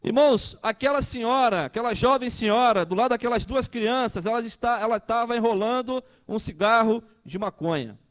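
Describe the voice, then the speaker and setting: A man's voice: very high voice, moderate pace, few pauses. A man, preaching a conversational sermon and asking the congregation questions. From Brazil. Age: 30s. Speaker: pastor.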